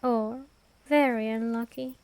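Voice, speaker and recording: A woman playing an ancient vampire queen in an ASMR roleplay, aloof and menacing yet protective, with a measured, formal, imperious delivery. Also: on the high side, fairly even intonation, a little mellow, clean tone. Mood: guarded. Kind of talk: ASMR roleplay, scripted fantasy monologue.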